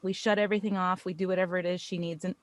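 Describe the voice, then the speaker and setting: An American woman speaking as a podcast guest in a casual, earnest and expressive conversational style. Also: lowish voice, rapid-fire. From United States.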